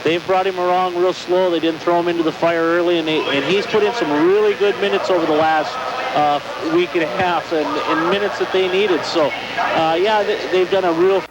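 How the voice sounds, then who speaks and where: A man giving conversational color commentary on a basketball game, halting and repeating words as he goes. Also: high voice, fairly even intonation, dull audio. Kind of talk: conversational sports analysis. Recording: noisy radio broadcast recording.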